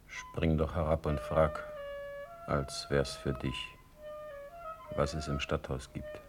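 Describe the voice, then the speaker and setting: A stern, proud sovereign with a low, deep voice. He declaims classical verse slowly and with measured authority, every phrase an imperious pronouncement in stage-trained radio drama style. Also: flat delivery, music in the background. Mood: brooding, controlled. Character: commanding, composed, proud Prussian prince-elector, a stern ruler.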